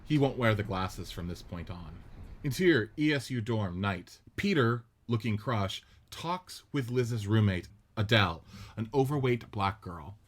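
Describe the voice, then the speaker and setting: A man narrating the stage directions of a radio play script in a steady, descriptive way. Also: lowish voice, unhurried.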